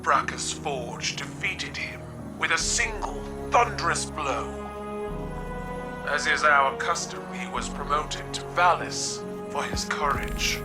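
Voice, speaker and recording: An actor with a man's voice playing a regal alien war leader in a video game, formal, proud and deliberate. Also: a little high, animated. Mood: proud formality. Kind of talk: dramatic video game acting. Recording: distant voice under clear music. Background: music.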